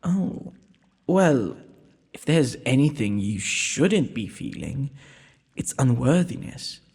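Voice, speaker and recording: A man playing a gentle, courteous young prince in a romantic audio roleplay, speaking formally and a little hesitantly. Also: medium pitch. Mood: reassuring. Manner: formal, polite, breathy speech with hesitations. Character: gentle, courteous young underground prince, nervous, earnest suitor. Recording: long hall-like reverb.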